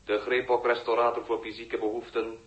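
Service machine male actor: formal, mechanical announcer, mid-pitched, 1960s radio drama sound. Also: flat delivery, a little fast, lo-fi sound, close to an everyday voice. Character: automated food machine reciting its advertising slogan. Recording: noisy old 1960s radio recording.

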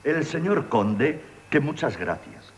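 An actor playing an obedient, deferential manservant in Castilian Spanish radio theatre. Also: male voice, mid-range voice, sing-song, takes it slowly, creaky, husky, heavily acted. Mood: obliging.

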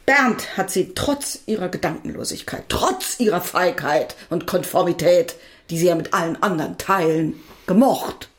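A woman acting in a radio drama, playing a proud, weary widow with a sharp tongue and pointed delivery. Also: lowish voice, moderate pace, lightly acted. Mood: bitter, weary.

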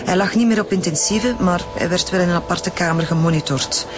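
A woman's voice: on the low side, brisk, over music, lightly acted. A woman with a Flemish accent playing a breezy, droll forensic doctor in a radio drama. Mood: breezy, informative. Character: breezy, joking female forensic doctor, Veronique Maas.

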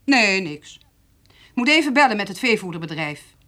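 Guarded, curt farm wife. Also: female voice, mid-range voice, very animated, normal speed, bright, forceful tone. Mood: suspicious, guarded. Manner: terse, guarded. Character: suspicious, curt farmer's wife with hidden hurt. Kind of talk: radio play acting.

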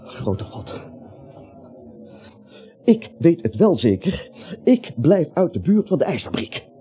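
A timid, frightened little man in a radio play, stammering and rambling to keep his nerve. His mellow voice swings widely up and down, on a dull recording with faint hiss. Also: fairly high pitch, sing-song, slow. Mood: nervous, muttering. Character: anxious, timid little man, a cowardly reluctant vigilante.

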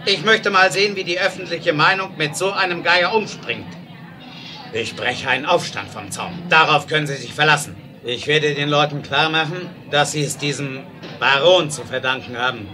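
An actor playing a hot-tempered, bullying railroad boss in a 1970s German audio drama, speaking in a commanding, emphatic way. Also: male voice, fairly high pitch, normal speed, music in the background, close to an everyday voice. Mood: angry, defiant. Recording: noisy 1970s radio play record.

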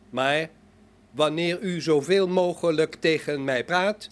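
A polite humanoid robot played by a man in a science fiction radio play. The voice is fairly high for a male and takes its time, with formal, deliberate phrasing and an inquisitive temper.

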